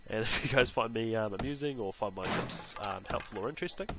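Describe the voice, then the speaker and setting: A man's voice: fairly low pitch, quick pace, creaky, over loud music. Chatty New Zealand man gaming. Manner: rambling, chatty, talks to himself while playing. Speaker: gaming channel host. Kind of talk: casual let's-play commentary.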